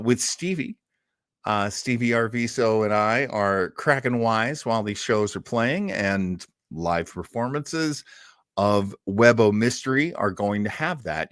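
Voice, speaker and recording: A man's voice: on the low side, measured pace, a bit bright, dry recording, clean studio sound. A man hosting a show with a casual, jokey, somewhat hesitant introduction. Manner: hesitant, self-deprecating, jokey. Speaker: host.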